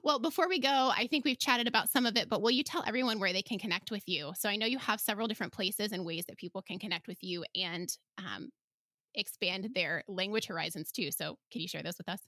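Bright, chatty woman podcast host. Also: mid-range voice, fast, dry recording, clean studio sound. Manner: chatty, enthusiastic, laughs often. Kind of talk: casual conversational interview.

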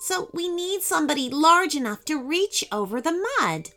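An eager young girl, acted out by a woman in a storybook adventure. She sounds earnest and childlike, with a high voice that bounces up and down. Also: animated, moderate pace, bright, forceful tone, faint music behind, full character voice.

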